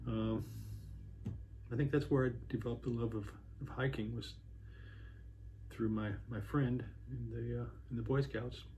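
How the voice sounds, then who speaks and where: Man in his 60s, dark warm tone, halting storyteller with faint hiss behind. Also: mid-range voice, fairly even intonation, rough recording. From Washington State, USA. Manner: rambling, many pauses and restarts. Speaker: interviewee, hiker. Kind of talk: casual reminiscing interview.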